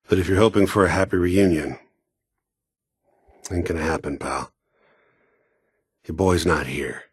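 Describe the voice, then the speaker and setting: A man voicing a cold, world-weary mercenary killer in a video game, gravelly and husky, with a sardonic, deliberate, taunting manner. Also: low-pitched, no room sound, clean studio sound, exaggerated voice.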